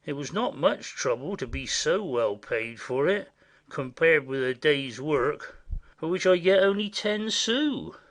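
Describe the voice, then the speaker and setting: Man playing a high-pitched, slow-talking, conspiratorial country bumpkin. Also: expressive. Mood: chatty, pleased. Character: simple, indiscreet rustic male messenger, conspiratorial and chatty. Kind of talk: classic stage comedy audioplay.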